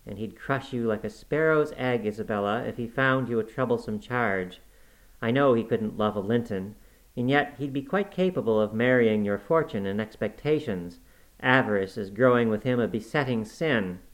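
A man's voice: mid-range voice, natural voice, rough recording. A man voicing a haughty, imperious young married woman who scolds emphatically in a storybook.

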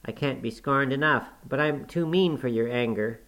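A man's voice: sits high, fairly even intonation, a little fast, gravelly, pitched above the performer's own voice, poor audio. A man voicing a sickly, frightened young man who pleads tearfully. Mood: pleading. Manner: tearful, imploring.

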